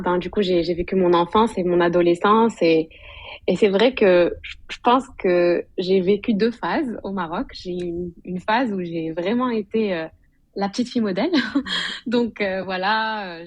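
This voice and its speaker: A woman's voice: fairly low pitch, moderate pace, no room sound, dull audio. A woman from Morocco speaking as a podcast guest, telling her story in an informal, conversational way with bits of English slang. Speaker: podcast guest, digital consultant and blogger.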